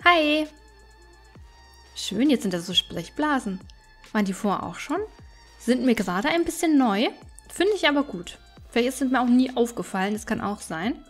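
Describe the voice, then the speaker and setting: A high-pitched female let's player talks casually through her game. She thinks aloud in a chatty way, with music playing behind her. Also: lively intonation, moderate pace, long pauses. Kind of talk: casual gameplay commentary.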